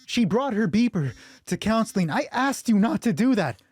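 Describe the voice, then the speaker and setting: A man's voice: high-pitched, sing-song, moderate pace, a little mellow, husky, no echo, pitched above the performer's own voice, full character voice. A man acting a whiny, middle-aged husband who accuses and snaps in exasperation, raising his voice in an audio drama. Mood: angry, incredulous. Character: whiny, aggrieved middle-aged balding husband, easily angered.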